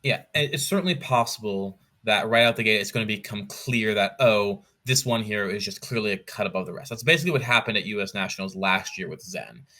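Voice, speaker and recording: A man with a fairly low but bright, forward voice. He chats casually as a podcast guest, weighing his points in a measured, analytical way and thinking aloud. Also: lively intonation. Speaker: podcast guest, competitive card game player. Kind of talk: casual interview chat.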